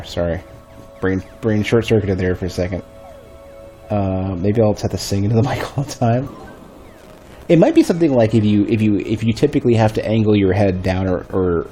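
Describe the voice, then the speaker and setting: A man streams a game at his desk mic, talking half to himself in a low, bass-heavy voice. His tone is dark and relaxed, a touch stuffy, and he circles back and restarts sentences as he thinks. Game music plays softly underneath. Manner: rambling, thinking aloud with restarts. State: a bit stuffy. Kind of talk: casual gameplay commentary. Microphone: desk microphone.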